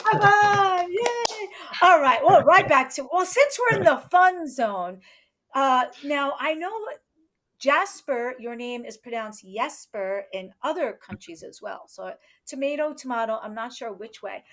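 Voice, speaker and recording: A woman's voice: sits high, lively intonation, measured pace, fairly bright tone. A woman hosting a chatty live interview show, with an exuberant, cheering manner. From New York, United States. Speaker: show host.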